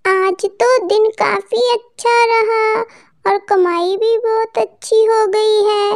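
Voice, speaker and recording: Cartoon auntie bird, female performer: extremely high, unhurried, sweet-natured, earnest. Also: steady tone, heavily acted. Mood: content. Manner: affectionate, earnest. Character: sweet, kindly popcorn-selling auntie bird. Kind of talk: children's cartoon story acting.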